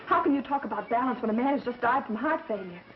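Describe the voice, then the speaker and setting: A woman's voice: a little high, lively intonation, quick pace, natural voice. An actress playing a polite, anxious young woman in a 1930s film acting style. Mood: worried. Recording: muffled 1930s film soundtrack with music.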